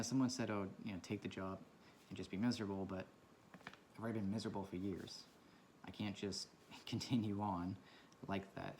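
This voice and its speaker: A man with a low voice talking quietly in a casual, rambling and reflective way while he draws for his vlog. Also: vocal fry, slight background noise. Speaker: vlogger. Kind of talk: casual talk while drawing. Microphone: camera mic from a propped camera.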